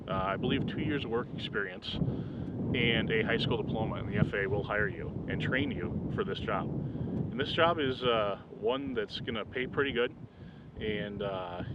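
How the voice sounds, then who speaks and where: A middle-aged man giving an informal explanatory career talk to young people as an air traffic controller. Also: medium pitch. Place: outdoors at an airport. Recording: windy outdoor audio, muffled, loud noise. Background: loud wind noise.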